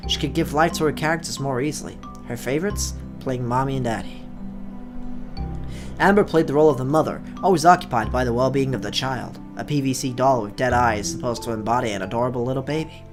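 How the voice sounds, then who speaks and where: A mid-pitched voice belonging to a let's play host, with music clearly playing underneath. The delivery is that of an expressive storyteller sharing a visual novel with viewers. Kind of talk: expressive story narration, read aloud. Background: background music.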